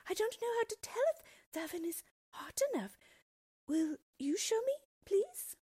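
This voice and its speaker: A woman's voice: extremely high pitch, very animated, normal speed, dark tone, voice pushed up. A woman voicing a frightened young girl who pleads tearfully. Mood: pleading.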